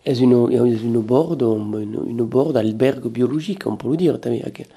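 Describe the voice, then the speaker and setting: A woman's voice: very deep voice, moderate pace, vocal fry, dark tone, breathy edge. A woman hosting a casual interview, chatting and adding details for her guest. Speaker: interviewer and host.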